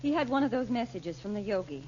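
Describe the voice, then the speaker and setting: An actress playing a firm, measured mother of two teenagers in an old radio drama. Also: medium pitch, expressive, darkish tone, a little hiss or hum, lightly acted. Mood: weary. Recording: dull old radio recording.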